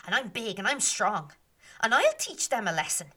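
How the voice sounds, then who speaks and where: A woman voicing a bold, greedy young girl who is brash and loud. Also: fairly high pitch, huge pitch swings, moderate pace, husky, heavily acted. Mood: defiant. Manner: brash and shouting.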